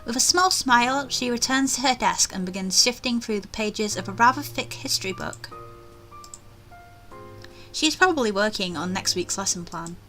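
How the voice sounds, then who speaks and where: Bright-voiced young woman gaming. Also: on the high side, animated, moderate pace, soft background music, rough recording. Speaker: let's play host. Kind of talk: casual game commentary and reading game text aloud.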